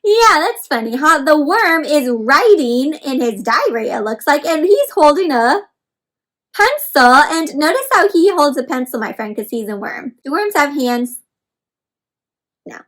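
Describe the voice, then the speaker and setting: A woman's voice: sits high, very animated, a little slow, fairly bright tone. A woman hosting an interactive read-aloud for children, speaking expressively and instructively and often putting questions to her young listeners.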